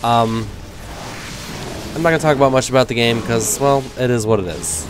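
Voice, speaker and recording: A man's voice: medium pitch, normal speed, music in the background. A man in his thirties with a cold that leaves him nasal, giving rambling, self-deprecating casual gameplay commentary. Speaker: gaming commentator.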